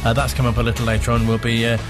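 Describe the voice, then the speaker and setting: A man's voice: mid-range voice, completely flat delivery, very fast, over music. A man presenting and interviewing on a sports radio show, with a loose, hesitant delivery.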